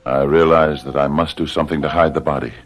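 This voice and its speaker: An actor playing a guilt-ridden, anxious murderer in old-time radio drama style, halting and stammering as he repeats himself. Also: rumbling low, fairly even intonation. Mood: tense, confessional. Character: guilt-ridden anxious man who has committed murder, confessing and faltering.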